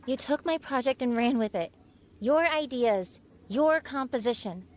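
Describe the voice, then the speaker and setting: Casting: headstrong teenage artist, fairly high, very expressive, exasperated, contemporary audio drama. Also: female voice, unhurried. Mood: exasperated, defiant. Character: strong-willed seventeen-year-old artist girl and her pushy mother.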